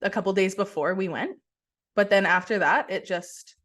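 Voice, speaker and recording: A young woman co-hosting a casual podcast from her kitchen over a video call, talking in a relaxed, rambling way with many "like"s and restarts. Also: mid-range voice, normal speed. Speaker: podcast co-host. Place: kitchen at home.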